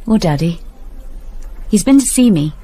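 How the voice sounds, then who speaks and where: A woman's voice: mid-range voice, animated, moderate pace, warm tone, slightly rough. An actress playing a fragile, forlorn teenage girl in a radio drama, subdued and plaintive.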